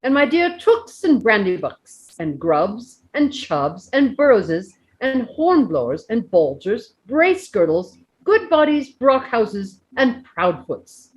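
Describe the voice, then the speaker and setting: An old hobbit gentleman, as a woman reader puts him on addresses a party in a storybook. The delivery is jovial and oratorical, lively in melody and somewhat raised in pitch, with deliberate, speech-making phrasing. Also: highs cut off. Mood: jovial, grand. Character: elderly male hobbit giving a jovial birthday speech. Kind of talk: storybook reading.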